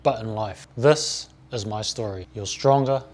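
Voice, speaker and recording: A man in his forties from New Zealand with a New Zealand accent, a fitness coach giving a motivational speech in short, deliberate phrases. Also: lowish voice, darkish tone. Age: 40s.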